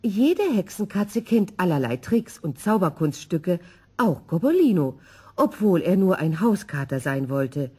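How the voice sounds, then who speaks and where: Lively husky woman storyteller. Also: lowish voice, measured pace. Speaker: narrator. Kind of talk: expressive scripted children's story narration.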